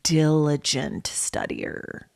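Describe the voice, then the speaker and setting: Lady cohost chatting n joking, playful, pitch goes all over, dry room sound. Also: huge pitch swings, very slow, creaky, dry recording. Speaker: podcast co-host. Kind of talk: casual comedic chat.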